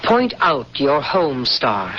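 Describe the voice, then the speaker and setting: A woman's voice: rumbling low, big pitch swings, takes it slowly, fairly bright tone, slight background noise, heavily acted. An actress in her thirties playing a stern young woman interrogator on an alien world, cold, formal and probing. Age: 30s. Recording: muffled old radio broadcast.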